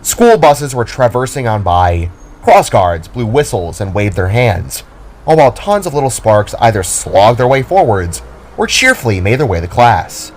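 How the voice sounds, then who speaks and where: A man's voice: medium pitch, very animated, moderate pace, slight background noise. A man reading scripted story narration as the narrator of an audio drama, with music behind him. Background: background music.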